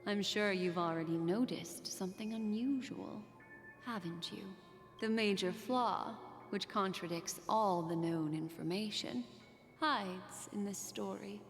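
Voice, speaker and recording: A poised, mysterious woman played by a voice actress tells a video game tale with theatrical care. Her delivery is measured and teasing, as if posing riddles, in a long reverb with music underneath. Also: medium pitch, lightly acted. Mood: solemn, storytelling. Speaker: story narrator in a video game. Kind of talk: scripted dramatic narration.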